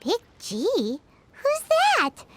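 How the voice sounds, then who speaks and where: A tiny floating fairy companion from a video game, chirpy and childlike. The squeaky voice is extremely high and wildly animated, yet takes its time. It is easily spooked and quick to get indignant. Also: female voice, loud music behind the voice, exaggerated voice. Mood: puzzled. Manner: speaks of herself in third person, indignant. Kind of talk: video game character acting.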